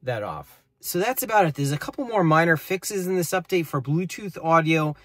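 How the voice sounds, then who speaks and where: A middle-aged man talks through car features in a plain, matter-of-fact way with a slightly higher voice. He records on a phone held at arm's length inside a parked car, and the sound stays dry and close. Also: expressive, normal speed, a bit bright, no room sound. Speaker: car tech vlogger. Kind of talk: casual walkthrough vlog.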